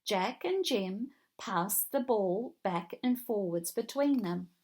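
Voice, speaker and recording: A woman narrating a children's read-along story in a deliberate, expressive way. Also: mid-range voice.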